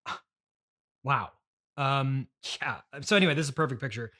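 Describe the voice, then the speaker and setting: A man with a mid-pitched voice hosts an improvised comedy interview. He talks haltingly and awkwardly, hesitating and circling back as he feels his way through each thought. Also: sharp tone. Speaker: podcast host.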